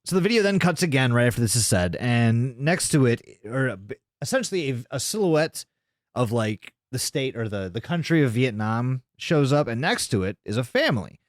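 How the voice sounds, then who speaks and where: A man's voice: medium pitch, sing-song, moderate pace, dry recording, clean studio sound. A man hosting a podcast, casually recounting a video in informal, sweary language. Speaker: podcast host.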